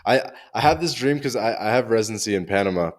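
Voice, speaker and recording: A man's voice: on the low side, lively intonation, fast talker. A man hosting a podcast interview, chatty and enthusiastic, with lots of "uh" and "like". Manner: enthusiastic, chatty, hesitant fillers like "uh" and "like". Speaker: podcast host. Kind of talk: casual interview chat.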